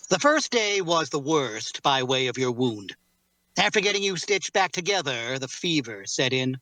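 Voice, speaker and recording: Man playing a bright-voiced, composed, menacing captor. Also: mid-range voice, sing-song, no echo, rough recording. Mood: solicitous. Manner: measured, formal, precise phrasing. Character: composed, faintly menacing male captor tending his prisoner. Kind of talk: audio drama table read.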